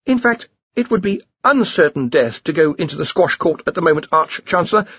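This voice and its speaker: A jittery young wizard scholar, put on by a male narrator speaks high and haltingly. He is deferential and anxious, with lively swoops and a husky grain. The close, dry audio is a touch muffled. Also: dry recording. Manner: hesitant, anxious, mumbling, polite.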